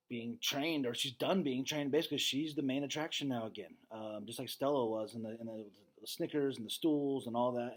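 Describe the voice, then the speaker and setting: A man's voice: mid-range voice, poor audio. A man speaking as a teacher reading aloud to students, conversational and casual, often checking in with his listeners as he comments.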